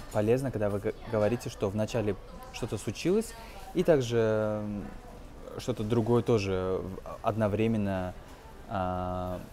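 A man in his twenties giving an informal language lesson as a teacher, explaining phrases in a halting, hesitant way. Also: fairly low pitch, mellow. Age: 20s. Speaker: English teacher.

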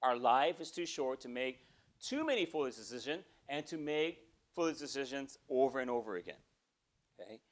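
A man's voice: mid-range voice, moderate pace. A man in his forties preaching a sermon in a small church, talking conversationally and often checking in with "okay?". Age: 40s. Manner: conversational preaching, frequent "okay?" check-ins, animated gestures. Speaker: pastor. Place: small church sanctuary, indoors.

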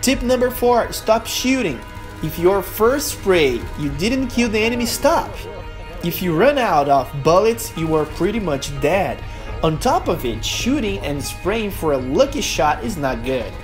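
A man's voice: high voice, sing-song, unhurried, faint music behind. A young man from Brazil with a Brazilian Portuguese accent, speaking English as a second language as he narrates a gaming tutorial in an earnest, emphatic way. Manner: earnest, emphatic, non-native phrasing. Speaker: gaming youtuber. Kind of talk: gameplay tutorial voice-over.

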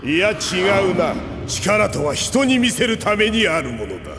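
A man's voice: high voice, sing-song, a little slow. Echoing, commanding male revolutionary. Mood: imperious. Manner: imperious, theatrical. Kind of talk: dramatic Japanese anime game acting. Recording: very echoey hall, clear background noise.

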